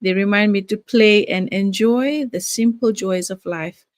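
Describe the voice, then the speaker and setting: Older Fijian-born woman, halting live chat. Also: medium pitch, dry recording, clean studio sound. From Fiji. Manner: warm, halting, many fillers and restarts. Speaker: live-stream host, nurse and business owner. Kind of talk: casual live-stream talk.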